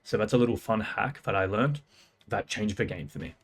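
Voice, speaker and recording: A man's voice: lowish voice, expressive, brisk, husky. A young man giving casual, confident sales-career advice in a punchy, slangy way. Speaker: music producer and sales coach. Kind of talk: casual talking-head advice.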